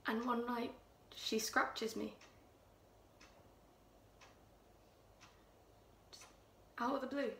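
An unsettling woman confides a dark tale in hushed, intimate tones, as a young actress performs her. Her voice is bright and gravelly. It carries a roomy echo. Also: a little high, fairly even intonation, measured pace, slight background noise. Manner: hushed, intimate storytelling, drops to whispers. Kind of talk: contemporary audition monologue. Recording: echoey room.

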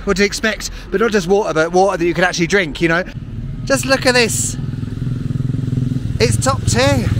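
A man in his thirties, a travel vlogger talking to a handheld camera outdoors on town streets, chatty and excitable with playful asides. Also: high voice, slight background noise. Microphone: handheld camera at arm's length.

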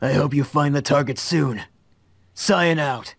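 A man's voice: fairly high pitch, measured pace, vocal fry, husky, no echo, heavily acted. A man voicing an impatient, bossy mage comrade in an amateur anime visual novel dub, curt and dismissive. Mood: musing.

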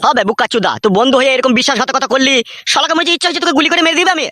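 A man's voice: extremely high pitch, racing, pushed and bright, no room sound, studio quality. A man playing a betrayed, vengeful young villager in a cartoon, cursing and aggrieved, with a rural West Bengali accent. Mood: furious. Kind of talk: cartoon dialogue.

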